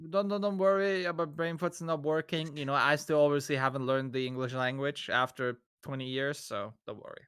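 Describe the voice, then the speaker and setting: An Austrian man, a native German speaker, co-casting a live esports match in English, casual and profane, rising into loud hype calls. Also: fairly high pitch, fairly even intonation, a little fast, hardly any pauses, smooth, no echo, clean studio sound. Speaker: esports co-caster. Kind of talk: live game commentary.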